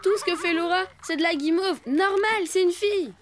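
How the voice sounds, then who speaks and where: A high-voiced little elephant lad, boastful and dismissive, with the slang of a self-styled cool big brother. It is a children's cartoon, with clear music behind the voice. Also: female voice, normal speed. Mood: scornful. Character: cocky bored young elephant boy, big brother. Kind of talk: animated cartoon dub.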